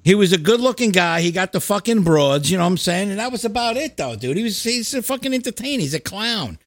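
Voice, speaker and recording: Blunt, higher-voiced middle-aged man chatting. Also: expressive, quick pace, dry recording, clean studio sound. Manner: profane, blunt, opinionated, emphatic. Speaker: podcast host. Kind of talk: casual chat.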